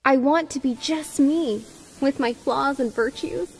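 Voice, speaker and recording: A woman's voice: high-pitched, measured pace. A young woman playing an emotional teenage magic princess in a cartoon comic dub. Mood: tender, grateful. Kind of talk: fan comic dub voice acting.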